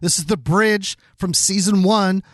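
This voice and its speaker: A man's voice: high-pitched, steady tone, a little slow, fairly bright tone, no room sound, studio quality. A man co-hosting a podcast in casual chat, with a playful, joking manner. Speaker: podcast co-host.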